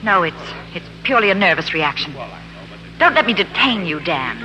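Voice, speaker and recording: An actress playing a cold, defensive estranged wife in a 1940s radio drama, curt and cutting. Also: female voice, medium pitch, very animated, normal speed, sharp tone, low-quality recording. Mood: icy, defensive. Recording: hissy old radio broadcast recording.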